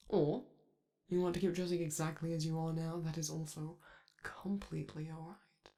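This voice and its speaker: A man voicing a flamboyant, affectionate male robot star who speaks softly and teasingly to his partner in a romantic ASMR roleplay. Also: on the high side, vocal fry. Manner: soft, doting, teasing, reassuring.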